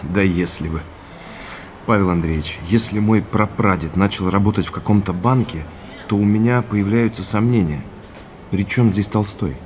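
A man's voice: fairly low pitch, normal speed, a little mellow, a little hiss or hum, natural voice. An actor playing an earnest, reflective young man researching his family's past in an audio drama, speaking thoughtfully and a bit hesitantly. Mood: doubtful, reflective.

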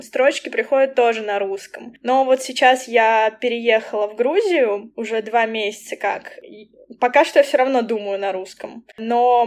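Young woman, fairly high voice, casual podcast guest, animated raconteur. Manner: chatty, animated storytelling with quick asides. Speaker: poet and language teacher.

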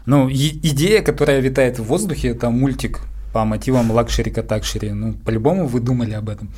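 A man's voice: medium pitch, fast, a little mellow. Male interviewer with a mid-range voice, conversational, informal, pressing with follow-up questions.

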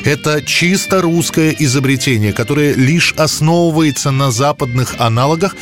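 A man's voice: fairly high pitch, moderate pace, soft background music. A man narrating a scripted history programme in a storytelling style, with conversational, dramatic phrasing.

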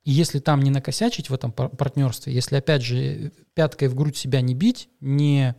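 Gravelly man podcasting. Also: medium pitch, steady tone, brisk, dark tone. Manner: hesitant, many fillers and restarts. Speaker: podcast co-host, astrologer. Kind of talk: casual podcast chat and news reading.

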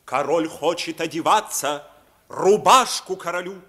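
A man's voice: on the high side, measured pace, fairly bright tone. A man playing a fawning, anxious royal courtier in a theatrical radio play.

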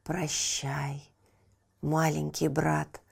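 A woman voicing a large, calm and grateful tigress in a fairy tale, solemn and gentle. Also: low-pitched, very slow, creaky, husky, pitched below the performer's own voice, heavily acted. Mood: solemn, kindly.

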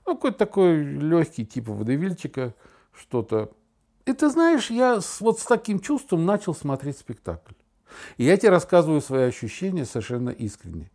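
A middle-aged man talking casually and reflectively, telling anecdotes emphatically, with halting, repeated word starts. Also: a little high. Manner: animated, emphatic, halting with stammered repetitions. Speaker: podcast host.

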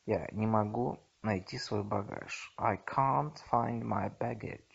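A man teaching phrases, reading each line and then slowly saying its English version, with a husky, gravelly voice. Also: fairly low pitch, steady tone. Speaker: language teacher. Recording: dull lo-fi recording.

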